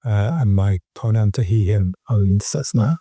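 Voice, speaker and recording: Low, mellow man. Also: unhurried, husky, no room sound, studio quality, soft background music. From Australia. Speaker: executive director and activist. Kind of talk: self-introduction.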